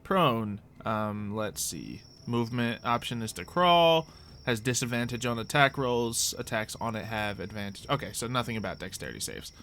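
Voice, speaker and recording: A man's voice: medium pitch, moderate pace, a bit bright, a little hiss or hum. A jovial man who laughs readily, casually narrating a tabletop roleplay as game master.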